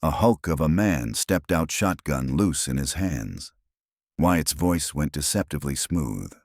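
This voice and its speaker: A man narrating a scripted Western audio drama in a measured storytelling manner. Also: a bass voice, animated, darkish tone, dry recording, studio quality.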